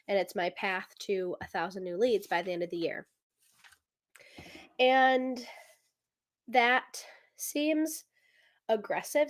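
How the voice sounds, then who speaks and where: Female podcast host, slightly congested and rough, chatty solo delivery, thinks out loud. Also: medium pitch. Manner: chatty, many fillers, thinks aloud with math. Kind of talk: casual solo business talk.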